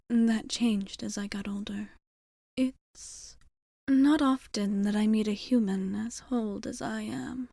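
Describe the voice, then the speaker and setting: A woman voicing a gentle, wistful, centuries-old female vampire in a soft, breathy, whispery ASMR roleplay, with measured, formal wording close to the mic. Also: on the high side, no echo. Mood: wistful, reflective. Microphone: close microphone.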